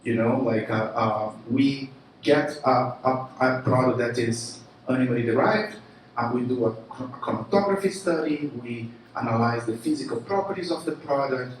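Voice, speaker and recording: A man with a Brazilian Portuguese accent gives a keynote at a live event, casual and animated, stumbling over fillers and restarting sentences. His mid-pitched voice is picked up from a distance and rings around a very echoey room, with faint noise underneath. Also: moderate pace. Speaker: conference speaker, marketing chief. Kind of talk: keynote presentation.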